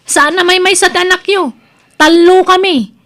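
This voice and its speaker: A woman's voice: very high-pitched, fairly even intonation, a little slow, fairly bright tone. A woman with an Ilocano accent acting a resentful, nagging young daughter in a radio drama. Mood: scolding. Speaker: drama voice actor.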